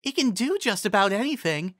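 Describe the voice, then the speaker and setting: A performer voicing an excitable, anxious young female pony in a dramatic fantasy story reading. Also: mid-range voice, fairly quick, no room sound, clean studio sound, voice pushed up. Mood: explanatory. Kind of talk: dramatic fan fiction reading.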